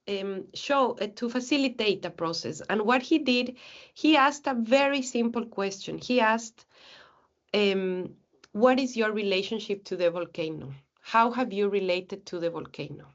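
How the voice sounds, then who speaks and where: A woman's voice: a little high, measured pace, muffled recording. A woman from Ecuador giving an earnest, reflective academic seminar talk, often restarting her phrases.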